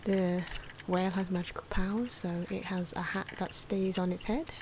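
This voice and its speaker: A soft-spoken woman giving casual ASMR commentary on drawing, often slipping into whispers, in an unhurried, rambling and playful way. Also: on the low side, fairly even intonation. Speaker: host of an ASMR drawing channel. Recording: clear background noise from drawing sounds. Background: pen and marker scratching.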